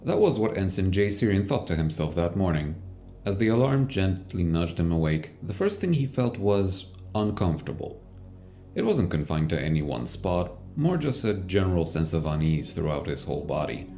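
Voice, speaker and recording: Deep, expressive male narrator. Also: moderate pace. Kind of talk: scripted audio drama narration. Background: music.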